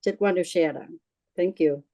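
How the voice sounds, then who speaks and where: A middle-aged woman with a Vietnamese accent speaks in a mellow, darker tone, close and dry with little room sound. She talks haltingly, stacking fillers and restarts, and breaks easily into laughter. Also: fairly low pitch, no room sound. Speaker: group member sharing her experience. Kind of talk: casual personal sharing.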